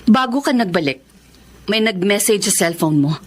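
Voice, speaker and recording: An actress playing an emotional, betrayed Filipino wife who runs a bakery, in a radio drama. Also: female voice, mid-range voice, lively intonation, normal speed, lightly acted. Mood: suspicious, accusing. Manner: emotional, accusing. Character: betrayed, hurt wife, thirty-something bakery owner.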